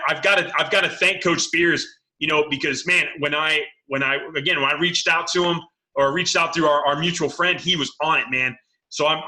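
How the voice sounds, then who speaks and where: A man hosting a casual interview, speaking earnestly to viewers in a halting, repetitive way with emphatic appeals. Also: on the high side, sharp tone. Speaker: host, sports recruiting coach.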